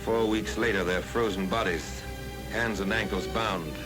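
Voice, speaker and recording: Over loud music on a vintage 1940s newsreel soundtrack, a low-voiced American man narrates the war. His delivery is scripted, grave and measured, with an oratorical weight. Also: fairly even intonation.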